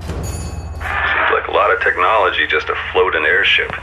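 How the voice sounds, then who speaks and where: An actor playing a gruff, world-weary war veteran in a video game, speaking in a terse, dry, skeptical way. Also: male voice, low voice, fairly even intonation, normal speed, over music, poor audio. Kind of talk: video game cutscene acting.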